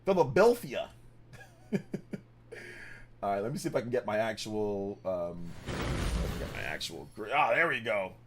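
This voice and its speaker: A man in his fifties chats loosely through a game stream, his pitch rising and falling a lot as he talks. He rambles, corrects himself and laughs often. Faint music plays underneath. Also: very animated, unhurried, long pauses. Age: 50s. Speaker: livestream host. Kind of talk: casual gaming livestream chat.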